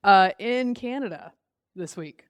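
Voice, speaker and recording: A sarcastic woman co-hosting a podcast. She tells stories quickly and with animation. Her voice sits in a middle register and is recorded dry and close. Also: mid-range voice, lively intonation, dry recording. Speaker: podcast co-host. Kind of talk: casual podcast chat.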